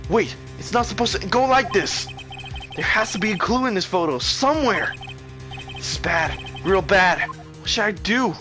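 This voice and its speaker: Casting: man playing earnest young game attorney, nervy, dramatic, lively pitch swings, music behind. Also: normal speed. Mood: panicked. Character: nervous, earnest young male defense lawyer. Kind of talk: video game voice-over reading.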